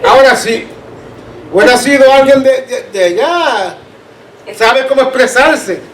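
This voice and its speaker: Guy w caribbean spanish accent teaching bible class, high voice, chatty, roomy echo. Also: expressive, measured pace, a bit bright. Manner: conversational, checks listeners understand. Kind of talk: informal church teaching with anecdotes. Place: church room. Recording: distant roomy mic, background noise.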